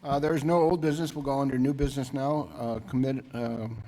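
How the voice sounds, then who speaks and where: American man chairing a council meeting, mid-pitched. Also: fairly even intonation, brisk, mellow, slight background noise, rough recording. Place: indoor town council meeting.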